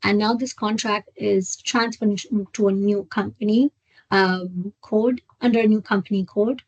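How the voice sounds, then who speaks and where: A woman trainer walks through software on a live online session. Her pitch is mid-range and she explains step by step, somewhat haltingly. The sound is dry, with very little room in it. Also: fairly even intonation, breathy edge, no room sound, muffled recording. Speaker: software trainer hosting a webinar. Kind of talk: live screen-share product walkthrough.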